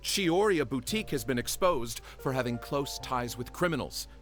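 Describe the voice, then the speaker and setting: An actor playing a rude customer who mocks and accuses the shop. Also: male voice, medium pitch, expressive, moderate pace, over music, natural voice. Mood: scornful. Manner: mocking, accusing. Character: rude, scornful male customer.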